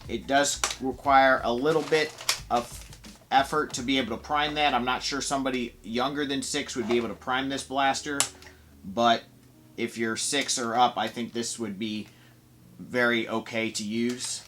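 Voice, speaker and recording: A man's voice: medium pitch, steady tone, moderate pace, noisy background. A man giving a casual, enthusiastic, conversational product review as a toy reviewer.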